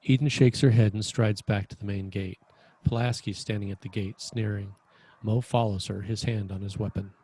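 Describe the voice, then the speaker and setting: A man's voice: fairly low pitch, fairly even intonation, normal speed, mellow, slight background noise. A man narrating scripted screenplay stage directions at a table read in a matter-of-fact way.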